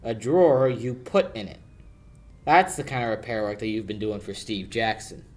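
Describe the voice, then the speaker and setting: A man's voice: fairly low pitch, normal speed, close to an everyday voice. A young male amateur actor playing a cocky, wisecracking sleuth with a confident, needling manner in a 1940s radio drama style. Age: young adult. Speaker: amateur high school actor.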